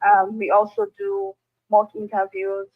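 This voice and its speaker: A woman's voice: mid-range voice, sing-song, a little slow. A woman hosting an online workshop, introducing the session hesitantly with many fillers. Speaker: workshop host. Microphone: video call. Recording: tinny phone-like call audio.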